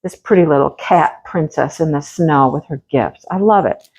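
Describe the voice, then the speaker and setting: A woman's voice: sits low, normal speed, darkish tone, breathy edge, low-quality recording. A woman hosting her crafting channel, chatting casually through an unboxing, a little stuffy with a cold and a slight cough. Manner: chatty, homey, talks to viewers directly.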